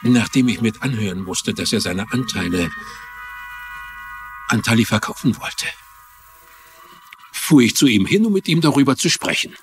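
A man's voice: mid-range voice, expressive, moderate pace, long pauses, over music, lightly acted. A man playing a flustered, sentimental older business executive in a dubbed TV drama, hesitant and earnest. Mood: pained, pleading.

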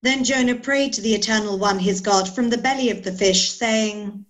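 A woman's voice: medium pitch, normal speed. A woman in her thirties giving a scripted narration of scripture. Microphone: video call.

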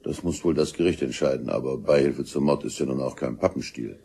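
An actor playing a stern, methodical police inspector in a crime radio play, speaking in a dry, authoritative way. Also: male voice, rumbling low, a little fast, gravelly, dark tone. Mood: dry, probing. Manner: dry, matter-of-fact, authoritative.

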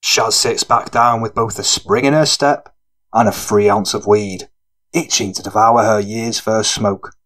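A man narrates a gritty audiobook. His pitch is a little low, and he reads scripted prose as the storyteller. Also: steady tone, a little slow, fairly bright tone.

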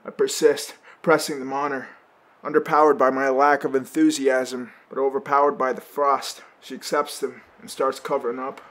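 An actor playing a sardonic, anxious, foul-mouthed young male survivor who narrates in first person for an audio drama, often halting and stammering. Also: medium pitch, little pitch movement, fairly bright tone, roomy sound, close to an everyday voice. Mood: grim, weary narration.

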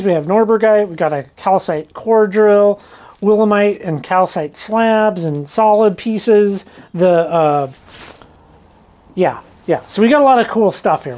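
A woman's voice: medium pitch, a little mellow. A woman vlogger giving a casual hands-on demonstration, informally thinking aloud as she goes. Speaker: rockhounding vlogger.

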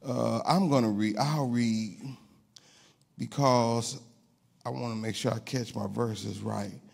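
A man's voice: medium pitch, steady tone, takes it slowly, vocal fry, darkish tone, slightly rough. A middle-aged man preaching in a church with a handheld microphone, chatting with the congregation in a conversational sermon. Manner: conversational preaching with call-and-response asides. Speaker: pastor. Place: church sanctuary, indoors.

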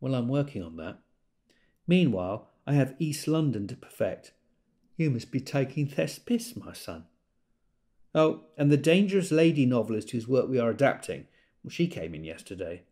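A man's voice: mid-range voice, sing-song, normal speed, mellow, lightly acted. A man playing a gossipy stage actor in an audio drama monologue, arch and declamatory with dry disdain. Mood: wry, disdainful.

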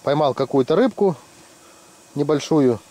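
Joking man with a lively, high voice. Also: a little slow. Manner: joking, teasing friends, lively. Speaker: fishing vlogger. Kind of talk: casual commentary. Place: ice-fishing tent on a frozen lake.

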